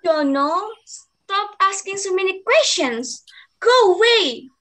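A woman's voice: very high voice, expressive, slow, fairly bright tone, exaggerated voice. A young woman playing an irritable baboon who brusquely brushes off questions in a readers theater. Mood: irritated. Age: young adult. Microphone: video call microphone.

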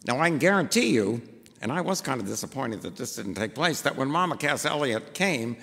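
An older male pastor with a gravelly voice, preaching into a pulpit microphone. He talks in a rambling, anecdotal way full of "uh"s, and his voice rings with long church reverb. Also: mid-range voice, big pitch swings, a little fast, a bit bright. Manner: rambling, anecdotal, with frequent fillers. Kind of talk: sermon with personal stories. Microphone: gooseneck pulpit mic.

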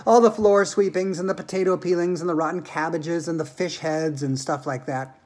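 A woman's voice: fairly low pitch, steady tone, normal speed, darkish tone, lightly acted. Woman playing a blithe, eccentric factory owner. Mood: calm, unbothered. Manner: breezy, matter-of-fact.

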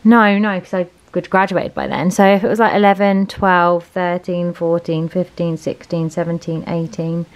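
A woman's voice: lowish voice, level tone, moderate pace, hardly any pauses, no echo, lo-fi sound. An English woman in her thirties chatting casually and informally to a vlog camera. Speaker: vlogger. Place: parked car, later indoor room at home. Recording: clear background noise of car and room.

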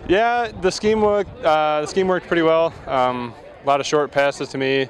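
A man's voice: fairly high pitch, normal speed. A young man, a college football player, giving easygoing short postgame answers outdoors on a field. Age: young adult.

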